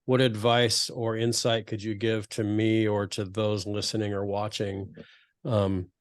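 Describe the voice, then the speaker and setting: Man, podcast host, hesitant, searching for words, lowish voice, dry close sound. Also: flat delivery, unhurried, no echo. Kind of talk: interview questions.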